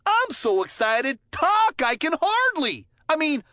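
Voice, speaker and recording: High-pitched, excitable kid hockey commentator. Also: female voice, takes it slowly, dry recording, studio quality, exaggerated voice. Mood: excited, admiring. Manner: chirpy, folksy, giggly. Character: excitable, clumsy, good-natured child hockey commentator. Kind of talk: cartoon video game sports commentary.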